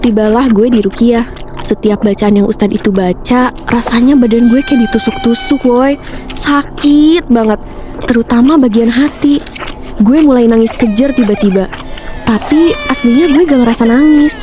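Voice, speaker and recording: A young woman narrating a scripted first-person ghost story in casual slang, chatty and expressive, talking straight to listeners. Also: a little high, moderate pace, background noise. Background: background music.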